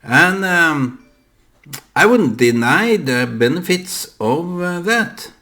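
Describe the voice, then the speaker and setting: A man with a Norwegian accent muses aloud to the camera, a native Norwegian speaker working in English. He talks very slowly in a gravelly voice. He stops often, with many fillers and restarts. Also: a little high, expressive, bright, forceful tone. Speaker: vlogger. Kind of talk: reflective solo monologue.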